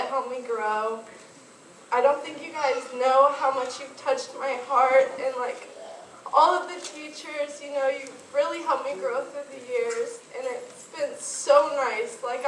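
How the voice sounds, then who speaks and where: A woman's voice: sits high, slight background noise. A young woman, a graduating student, speaking earnestly and emotionally to her class with lots of "like" and "you know". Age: young adult. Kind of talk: off-the-cuff assembly speech. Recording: echoey gym recorded from far off.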